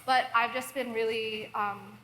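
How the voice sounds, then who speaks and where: A woman in her twenties from Hong Kong, a software engineer talking casually on a conference panel. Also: fairly high pitch, steady tone, a little slow, a little hiss or hum, low-quality recording. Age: 20s. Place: conference hall.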